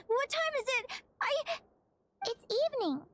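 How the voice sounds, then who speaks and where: A woman's voice: extremely high pitch, very animated, unhurried, fairly bright tone, no echo, full character voice. A woman voicing a small, childlike, caring companion creature in a cartoonish video game style. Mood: concerned.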